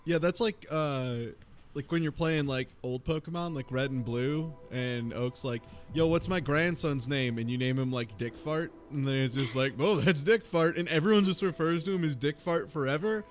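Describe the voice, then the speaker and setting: A man's voice: on the high side, normal speed, faint music behind. A man co-hosting a podcast in casual chat, informal and joking. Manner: informal, joking with crude analogies. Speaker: podcast co-host.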